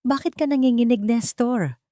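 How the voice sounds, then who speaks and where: A woman's voice: fairly high pitch, normal speed, dark tone, dry recording, studio quality, voice pushed up, close to an everyday voice. A woman playing a stern, wealthy male boss who interrogates in a controlled, accusing way in an audio drama. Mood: controlled accusation.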